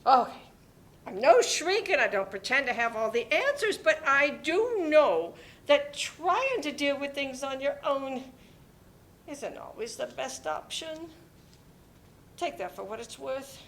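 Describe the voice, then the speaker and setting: A woman playing a warm, fussy, motherly older neighbour in a dramatic play reading, doting and fretful as she chats. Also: high-pitched, big pitch swings, unhurried, a little hiss or hum, heavily acted. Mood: awkwardly caring.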